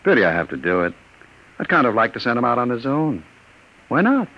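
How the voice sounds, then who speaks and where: An actor playing a smooth-talking, controlling, cold-blooded crook in an old radio drama, suave and menacing, on a vintage recording. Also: male voice, medium pitch, very animated, fairly quick, highs cut off. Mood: coldly amused.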